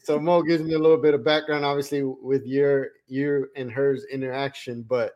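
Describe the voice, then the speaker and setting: A man's voice: mid-range voice, level tone, normal speed, darkish tone. A man from Colombia co-hosting a podcast, talking in a rambling, friendly way and often repeating words. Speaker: podcast co-host. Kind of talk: casual interview chat.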